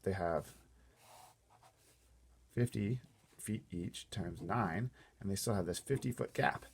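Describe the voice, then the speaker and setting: A man reading a storybook aloud in an animated way, playfully breaking off for casual asides to wonder aloud. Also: low voice, takes it slowly, vocal fry, breathy edge.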